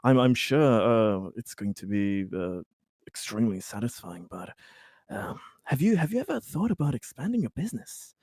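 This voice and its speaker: Man playing a furtive corporate schemer, halting and low. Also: very animated, no room sound, studio quality. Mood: smooth, sly. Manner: halting, ingratiating, hushed delivery. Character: slick, furtive male corporate type making a pitch.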